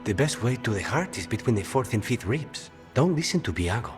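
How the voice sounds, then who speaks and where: An actor playing a reserved, sincere assassin in a fantasy game, with an understated delivery. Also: male voice, medium pitch, very animated, fairly quick, music in the background, close to an everyday voice. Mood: bashful. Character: reserved, earnest male assassin with a soft heart. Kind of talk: game dialogue acting.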